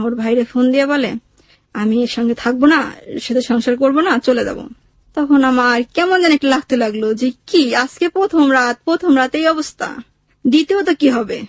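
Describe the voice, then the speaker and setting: A woman's voice: high-pitched, fairly quick, no echo. A woman with a Bangladeshi accent recounts her own life as an interview guest, in plain colloquial speech, quoting dialogue as she goes. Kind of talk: personal storytelling, recounting with quoted dialogue.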